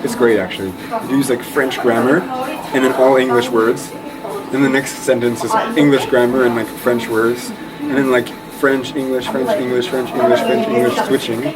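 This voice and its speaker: A young man from Eastern Canada chatting casually in an interview, relaxed and rambling with lots of fillers. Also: medium pitch, normal speed, rough recording. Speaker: interview guest. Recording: loud crowd noise behind.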